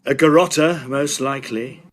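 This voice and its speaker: A man's voice: medium pitch, moderate pace, close to an everyday voice. A man playing a serious, sceptical young Victorian medical student in an audio drama, terse and guarded. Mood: startled, sceptical.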